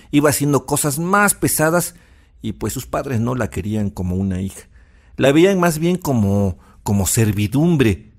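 A Mexican man narrates a tale in a fairly low voice. His delivery is informal and sympathetic, like chatting to the listener. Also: creaky. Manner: conversational, sympathetic.

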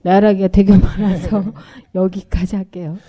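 A middle-aged woman talks as a guest on a radio interview. Her voice is very soft and dark, at an average pitch. She explains in an informal, conversational way. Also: little pitch movement, normal speed. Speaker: volunteer group member, interview guest.

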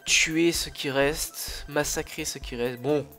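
A chatty young man with a cold commentates a strategy game over a desk mic, his voice a little high. He rambles with fillers, restarts and teasing asides, coughing now and then, while faint game music plays underneath. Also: fairly even intonation, slow pace, bright, forceful tone. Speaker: gaming YouTuber. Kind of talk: casual gameplay commentary.

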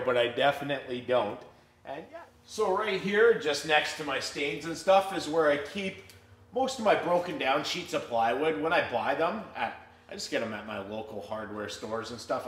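A man's voice: a little high, a bit bright. A man in his thirties chatting informally and enthusiastically, unscripted, as a hobby woodworker showing his shop. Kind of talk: casual unscripted vlog chat. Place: garage-sized woodworking shop, indoors. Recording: echoey room with background noise and clicks.

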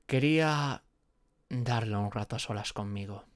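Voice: mid-range voice, measured pace, dry recording, clean studio sound, natural voice. A performer with an accent from Spain voicing a nervous, apologetic, friendly gorgon in a roleplay audio drama, hesitant and rambling as if talking to one listener. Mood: sheepish, explaining. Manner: hesitant, rambling, muttering to self.

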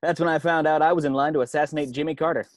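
A man's voice: on the high side, steady tone, racing, no echo, clean studio sound, natural voice. A man playing a cocky, secretive former hand model with a dramatic, knowing, slangy delivery in an amateur comedy script read. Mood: grave, confiding. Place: indoors at home on a video call. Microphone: video call.

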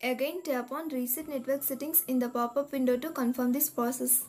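A woman reading a scripted step-by-step tech tutorial in a measured, instructional manner. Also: sits high, fairly even intonation, darkish tone. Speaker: tutorial narrator. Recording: voice-over with music behind. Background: background music.

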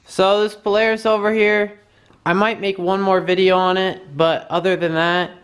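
A young man giving a relaxed, rambling vlog update, filming himself with a handheld camera at arm's length outdoors and in a garage, with plenty of fillers. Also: high voice, normal speed, clear, resonant tone. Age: young adult. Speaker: vlogger. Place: outdoors at a storage lot, in a truck, and in a home garage.

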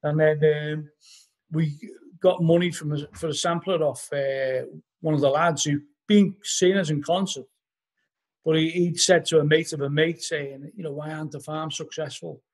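A middle-aged man with a Liverpool accent, his voice somewhat husky and pitched a little above most men's. He rambles through his anecdotes, scattering "you know" throughout. He is talking over a laptop webcam from his living room at home. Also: fairly even intonation, normal speed. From Liverpool, England. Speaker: podcast guest, band vocalist. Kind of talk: casual storytelling interview. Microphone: laptop webcam on a video call.

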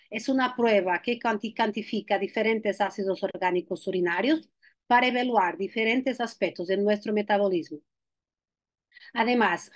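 A woman's voice: medium pitch, no echo. Portuguese-accented woman, hesitant webinar talk. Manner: hesitant, many restarts and fillers. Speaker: clinical nutritionist presenter. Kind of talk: webinar presentation. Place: home room with bookshelves. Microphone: video call.

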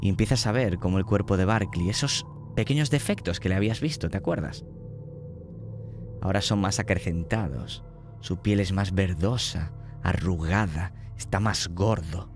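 Deep-voiced Spanish game master narrating horror slowly and dramatically, with faint music. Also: male voice. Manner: slow, descriptive and dramatic.

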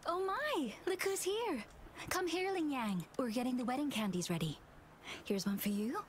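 A woman playing a warm, excitable young bride-to-be in a video game. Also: high-pitched, moderate pace, slight background noise. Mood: excited, affectionate. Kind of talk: English video game voice acting.

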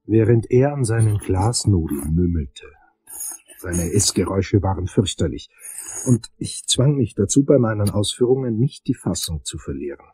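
Low, husky crime investigator narrator. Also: male voice, animated, normal speed, warm tone. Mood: measured, tense. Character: male first-person investigator narrating a dry crime tale. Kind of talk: scripted crime radio play narration.

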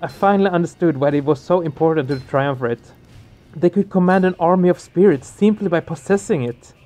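Let's play host, male: slightly high, reactive and expressive, reading story text over light music. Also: a little fast.

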